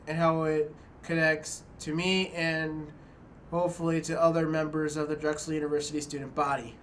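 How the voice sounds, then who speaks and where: A young man, a student, talking to the camera in a small room about his documentary, halting and restarting often. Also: a little high, fairly bright tone, slight background noise. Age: young adult. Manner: halting, many restarts and fillers. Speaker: student filmmaker. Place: small dorm room indoors.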